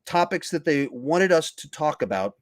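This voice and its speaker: A man's voice: fairly high pitch, animated, normal speed. A man, hosting a podcast and talking film in a casual, conversational style. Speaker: podcast host.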